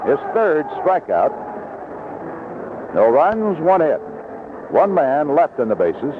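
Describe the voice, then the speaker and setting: A man's voice: a little high, big pitch swings, takes it slowly. An American man calling baseball play-by-play on the radio in a folksy, descriptive manner. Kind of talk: live radio sports commentary. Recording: dull, noisy vintage radio broadcast.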